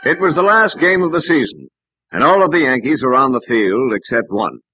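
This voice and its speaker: Husky, earnest sportswriter. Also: male voice, mid-range voice, animated, brisk, soft background music. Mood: tense narrating. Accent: American. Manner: earnest, anxious. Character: loyal, worried male sportswriter friend, narrating. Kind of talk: old-time radio drama narration and dialogue. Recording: dull, dry old radio recording.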